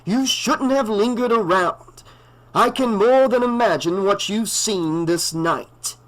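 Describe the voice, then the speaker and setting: Give me a slow, solemn older doctor, as a male narrator plays him. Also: high voice, fairly bright tone, voice pushed up, poor audio. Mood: ominous. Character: grave older male doctor warning of evil.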